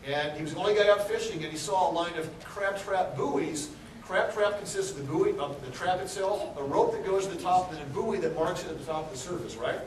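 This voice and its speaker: A high-voiced man talks casually to a live audience, cracking jokes and asking the crowd questions. He is from Texas. The recording has an echoey hall sound, with the mic set well back from him and steady background noise. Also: fairly quick, recorded from a distance. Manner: joking, chatty, asks the audience questions. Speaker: aquarium CEO giving a talk. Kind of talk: casual public talk to a crowd. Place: large indoor room with an audience.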